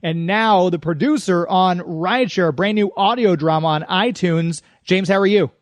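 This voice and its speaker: A man's voice: sits high, normal speed, dry recording. A man hosting a radio show, interviewing a guest in a conversational way.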